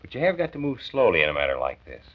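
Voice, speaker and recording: A man's voice: low-pitched, wildly swooping pitch, very fast, exaggerated voice. An actor playing an earnest, kindly male county health doctor who explains and persuades in a 1940s radio drama. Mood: concerned, reassuring. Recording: muffled old 1940s radio recording.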